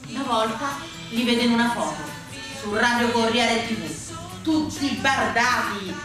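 A woman's voice: on the high side, lively intonation, unhurried, far from the mic. A woman with a Tuscan accent performing a colloquial theatrical storytelling monologue. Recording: very echoey room, faint music.

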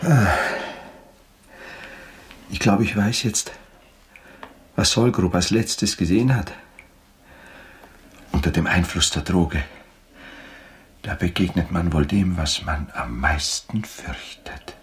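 An actor playing a haunted, brooding Austrian baron and officer in a radio drama, speaking intensely in halting phrases. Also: male voice, low-pitched. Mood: haunted, dazed.

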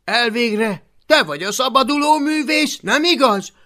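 A man voicing a brash, cheeky young Viking boy who talks in a loud, excited way. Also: very high-pitched, voice pushed up.

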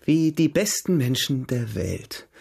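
A man's voice: a little high, sing-song, takes it slowly, gravelly, a little mellow, breathy edge, full character voice. An actor playing a charming, light-hearted artist, courteous and ardent as he courts his cousin in a radio drama. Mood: playful, courteous.

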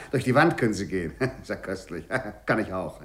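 An actor in a 1950s German radio play, playing a sly aristocratic crook in prison, suave, wheedling and sardonic. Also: male voice, sits low, a bit bright.

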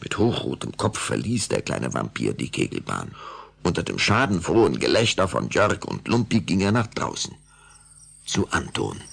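Ein Mann mit rauer, heiserer Stimme erzählt als Erzähler eines Kinderhörspiels eine Vampirgeschichte, dramatisch und nach Skript. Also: male voice, deep voice, very animated, moderate pace, fairly bright tone, exaggerated voice. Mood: suspenseful narration. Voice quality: gravelly, husky. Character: storyteller of a children's vampire tale. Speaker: narrator. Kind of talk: scripted dramatic narration.

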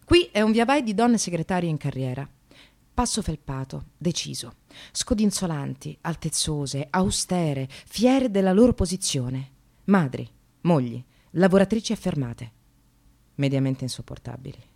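An actress playing an exasperated, bitterly sarcastic receptionist in a dramatic radio monologue, shifting between muttering and outbursts. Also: female voice, deep voice, expressive, a little slow, gravelly, darkish tone, full character voice. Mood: contemptuous, deadpan.

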